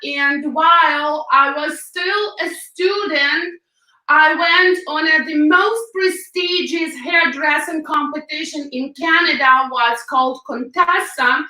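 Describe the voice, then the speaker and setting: Ukrainian-accented woman guest, high bright voice, echoey, a bit off-mic on a call. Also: slow pace, recorded from a distance. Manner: fillers and non-native grammar, laughs often. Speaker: guest, hairstylist. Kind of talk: interview answers, telling her story.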